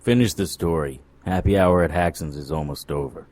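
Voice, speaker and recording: A man playing a jaded, sardonic occult investigator, dry and deadpan, in a noir audio drama. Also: deep voice, fairly quick, close to an everyday voice. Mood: needling.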